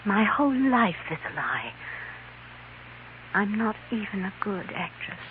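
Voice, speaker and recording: A woman's voice: medium pitch, very animated, unhurried. A woman playing an anxious, tipsy stage actress who feigns English gentility, with an affected, theatrical manner, in a 1950s radio western. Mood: tipsy, confessing. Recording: noisy, narrow-band 1950s radio recording.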